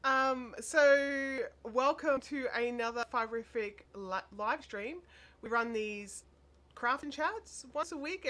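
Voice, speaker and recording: An Australian woman hosting a casual craft live stream, chatty and apologetic, her voice made deeper and nasal by a chest cold and sore throat. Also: fairly bright tone. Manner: chatty, apologetic, reads viewer comments aloud. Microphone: desk microphone. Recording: faint air conditioner hum.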